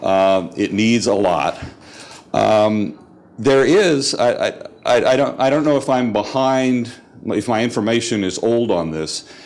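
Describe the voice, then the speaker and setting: An American man in his sixties speaking measured panel remarks into a handheld mic in a conference hall, with dry asides and some restarts. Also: on the low side, darkish tone. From United States.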